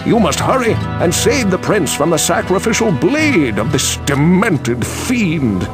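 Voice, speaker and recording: An actor playing a grave elderly sage in a fantasy game, speaking in a theatrical, portentous and urgent way. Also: male voice, high voice, big pitch swings, measured pace. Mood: urgent, regretful. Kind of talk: dramatic fantasy game voice acting. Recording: music under the voice. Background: loud guitar music.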